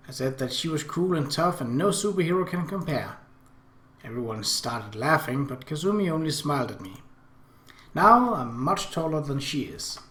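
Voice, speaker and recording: An animated man reads a visual novel aloud in a slightly high voice. His narration is expressive and theatrical, with lively pitch movement, recorded in an echoey room. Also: normal speed. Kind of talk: expressive story reading in first person. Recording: roomy, echoey home recording.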